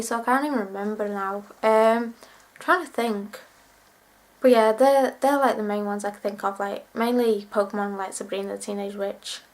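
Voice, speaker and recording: A twenty-year-old woman vlogging to camera, chatting in a rambling, self-deprecating way while sick with a cold and cough. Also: fairly high pitch. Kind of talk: casual chat to camera.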